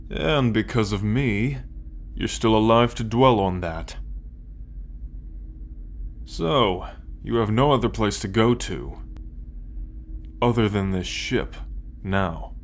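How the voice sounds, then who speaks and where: A man playing an arrogant, possessive alien soldier in an ASMR roleplay, condescending and menacing, speaking with deliberate pauses. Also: fairly low pitch. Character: arrogant possessive male alien soldier, cold, darkly amused captor.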